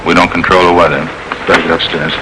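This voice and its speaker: A very deep-voiced, practical crew chief acted by a man in a vintage police drama. He talks fast in short factual phrases, on a noisy old radio recording. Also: little pitch movement, close to an everyday voice. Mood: practical, grave. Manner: terse, factual. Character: plain-spoken, practical male emergency crew chief. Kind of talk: 1950s police drama acting.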